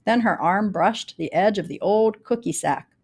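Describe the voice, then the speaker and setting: A woman reads a children's picture book in rhyme. Her pitch sits in the middle for a woman. She tells it expressively and deliberately, leaning on key words for dramatic effect. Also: darkish tone, breathy edge. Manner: dramatic, deliberate storytelling with varied emphasis. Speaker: storybook reader.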